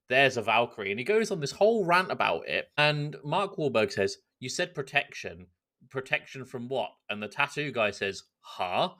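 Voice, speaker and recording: A man co-hosting a podcast, telling things in a casual, animated, jokey way with some swearing. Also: medium pitch. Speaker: podcast host.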